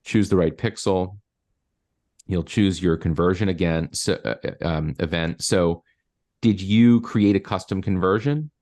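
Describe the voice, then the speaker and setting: A man's voice: low voice, a little slow, a little mellow. A man in his forties coaching a client step by step over a video call, explaining with many fillers. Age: 40s. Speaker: marketing coach. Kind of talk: screen-share coaching session.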